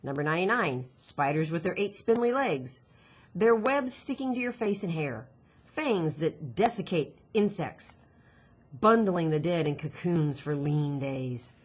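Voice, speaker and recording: A woman's voice: on the low side, lively intonation, measured pace, slightly rough. A woman voicing an anxious, bookish girl who is guarded and self-critical in a storybook reading. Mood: anxious, brooding.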